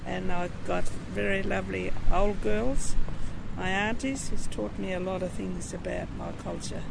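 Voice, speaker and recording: A woman's voice: mid-range voice, fairly even intonation, normal speed, gravelly. A woman in her sixties from Central Australia, speaking directly and emphatically in an interview outdoors by a street. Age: 60s. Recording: street noise behind.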